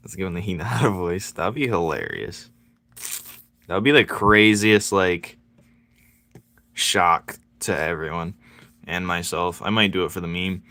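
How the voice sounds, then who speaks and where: A man chatting casually with his viewers while he draws, playful and quick to laugh as he banters with them. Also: sits low, lively intonation, gravelly, bright, forceful tone. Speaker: streaming artist. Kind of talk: casual chat while drawing.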